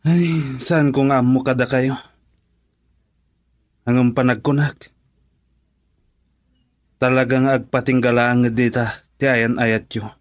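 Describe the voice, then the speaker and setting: A man's voice: mid-range voice, fairly even intonation, slow pace, long pauses, close to an everyday voice. A man with an Ilocano accent playing a frank friend who gives blunt advice in a radio drama. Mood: firm.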